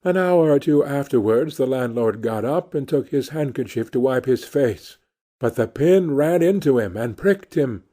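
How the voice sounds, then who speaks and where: Mellow-voiced male storybook narrator. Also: mid-range voice, lively intonation, normal speed. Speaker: audiobook reader. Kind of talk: scripted storybook narration of fairy tales.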